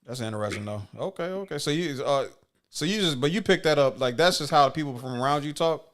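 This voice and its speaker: A man's voice: a little high, lively intonation, fast. A man with a Chicago accent hosting a casual chat, asking curious questions and rambling with many restarts. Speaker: host.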